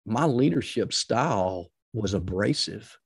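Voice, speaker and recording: A middle-aged man with a deep, loud voice, giving leadership advice as a podcast guest in an energetic, emphatic way that repeats phrases for stress. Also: measured pace, gravelly, slightly rough, no echo, clean studio sound. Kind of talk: explanatory interview talk with advice and personal stories.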